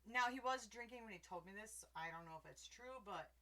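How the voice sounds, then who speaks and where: A middle-aged woman hosting a casual podcast, a little drunk and slurring slightly, laughing loudly as she chats from her bed at home. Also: medium pitch, lively intonation, fast talker. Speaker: podcast host. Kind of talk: casual tipsy chat. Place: bedroom in a trailer home.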